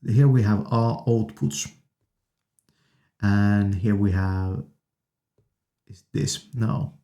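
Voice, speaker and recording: Low-pitched, mellow man demonstrating gear. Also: steady tone, drawn-out words. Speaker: video host reviewing audio gear. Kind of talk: casual product demonstration. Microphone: studio condenser microphones.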